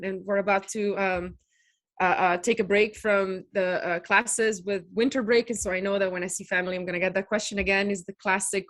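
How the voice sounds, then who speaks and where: A young woman from Italy, a native Italian speaker, answering as a guest in a video podcast interview, thoughtful with frequent pauses. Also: mid-range voice. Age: young adult. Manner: thoughtful, many fillers, laughs easily. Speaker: podcast guest, doctoral researcher. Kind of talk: conversational interview.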